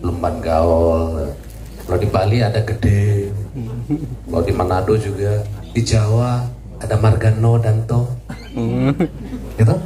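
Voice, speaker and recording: A man's voice: lowish voice, takes it slowly, pauses often. Man joking through a speech to a crowd. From Indonesia. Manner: jokey and lively, laughs at his own jokes. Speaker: military officer giving a speech. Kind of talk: joking public speech at a community event. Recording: echoey, distant, crowd noise.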